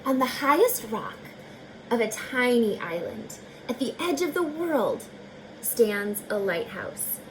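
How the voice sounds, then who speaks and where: A woman's voice: on the high side, very animated, slight background noise, rough recording. A woman teacher reading a children's picture book aloud expressively, with chatty asides spoken directly to young listeners. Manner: slow, deliberate phrasing, talking directly to young listeners.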